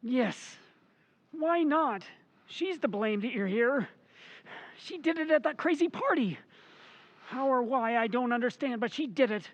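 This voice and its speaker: A woman's voice: sits high, lively intonation, measured pace, pauses often, no echo, lo-fi sound. A woman playing a meek, fearful henpecked husband who stammers and pleads, in old-time radio horror style. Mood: desperate resolve. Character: timid henpecked husband, fearful, meek, simple little man.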